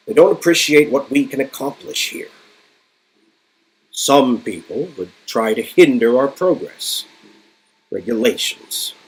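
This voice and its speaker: A man's voice: mid-range voice, slightly rough, low-quality recording. A man playing a grandiose, smug, absent-minded male inventor villain with a theatrical, stammering delivery in a dramatic reading of game dialogue. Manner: theatrical, stammering, self-important.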